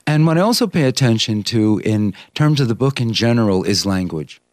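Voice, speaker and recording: A man whose first language is Greek, a yoga teacher talking at length in an interview about anatomy and yoga with a measured, explanatory manner. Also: medium pitch, animated, a little mellow, dry recording, studio quality. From Greek family. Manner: measured, explanatory, with many uh fillers.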